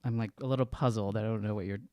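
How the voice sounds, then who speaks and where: Mellow, lowish-voiced man playing a tabletop game. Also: fairly even intonation, rapid-fire, dry recording. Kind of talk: casual improvised in-character dialogue.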